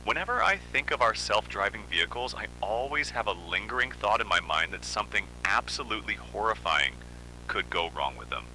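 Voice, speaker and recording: A man playing an uneasy car company spokesman haunted by dread, in a brooding, halting horror monologue. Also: fairly low pitch, big pitch swings, sharp tone, a little hiss or hum, exaggerated voice, poor audio. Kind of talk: scripted analog horror monologue.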